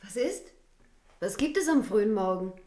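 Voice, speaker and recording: An actress in a radio drama playing a proud, spirited young French princess. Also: female voice, medium pitch, expressive, moderate pace, mellow, natural voice. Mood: indignant, shrieking.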